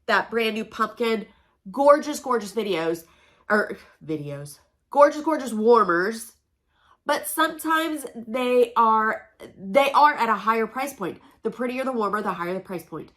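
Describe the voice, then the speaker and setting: A woman's voice: on the high side, animated, a little slow, a bit bright. A chatty woman hosts a home unboxing vlog, sounding congested from allergies and talking in an upbeat, folksy way. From Oklahoma, USA. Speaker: direct-sales consultant and channel host. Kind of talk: casual product unboxing vlog. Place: home room with a noisy air conditioner.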